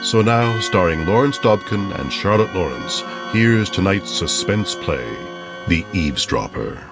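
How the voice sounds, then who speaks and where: Male actor as old-time radio suspense announcer: very low, grave, theatrical, loud music behind. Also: animated, a little slow. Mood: grave, portentous. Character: solemn male announcer of a vintage radio thriller. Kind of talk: 1950s radio drama announcing.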